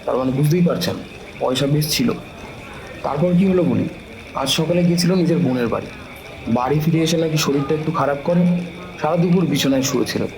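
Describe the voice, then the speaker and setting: A man's voice: a little high, a little fast, pauses often, darkish tone, natural voice. A man playing a talkative, deferential police officer in an audio drama, explaining things respectfully and knowingly to a superior. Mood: informative. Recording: echoey room, clear background noise.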